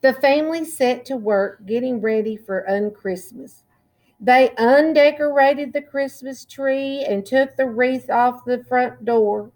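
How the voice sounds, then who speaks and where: Woman, storytime reader, slightly high pitch, very unhurried, expressive, drawn-out words, children's read-aloud. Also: low-quality recording. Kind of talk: read-aloud picture book narration for children.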